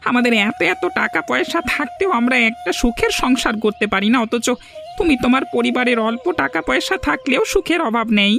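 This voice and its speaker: A woman's voice: high voice, lively intonation, brisk, vocal fry, over music. A woman voicing an earnest, reassuring young villager in a cartoon village drama.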